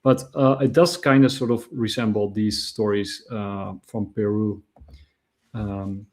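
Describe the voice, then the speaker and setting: A man's voice: on the low side, steady tone, unhurried, a little mellow. A man co-hosting a casual talk show, easygoing and full of fillers as he steers the topic. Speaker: co-host.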